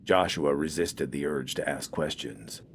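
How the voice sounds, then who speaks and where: A man's voice: very deep voice, a little slow, a little hiss or hum. A man reading scripted fiction as a story narrator.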